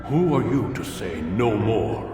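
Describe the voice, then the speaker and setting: An actor playing a stern, cryptic old master of assassins in a video game, measured, commanding and condescending. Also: male voice, lowish voice, sing-song. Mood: stern, threatening. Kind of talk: dramatic video game acting. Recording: distant, cavernous echo, music behind.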